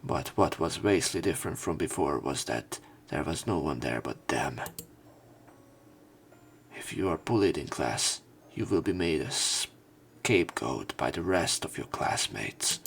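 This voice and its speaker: A man reading a visual novel aloud as a let's play narrator, in an expressive storytelling style. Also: a bass voice, a little slow, vocal fry, husky.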